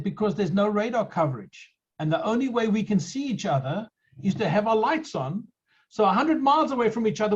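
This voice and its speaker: South african guy hosting, husky, kinda muffled lo-fi sound, chatty storyteller. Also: high-pitched. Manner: chatty, storytelling, frequent restarts. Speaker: podcast host. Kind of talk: conversational interview.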